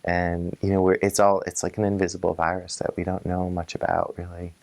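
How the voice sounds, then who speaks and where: A man's voice: sits low, monotone, brisk, creaky, darkish tone, no echo. A man speaking reflectively in an interview, often rephrasing himself mid-thought.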